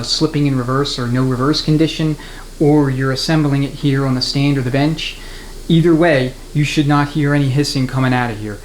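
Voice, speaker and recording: A man giving an unscripted, hands-on mechanical tutorial, explaining each step methodically in plain-spoken terms. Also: mid-range voice. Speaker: transmission mechanic giving a how-to demonstration. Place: indoors at a transmission workbench. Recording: roomy echo, clear background noise.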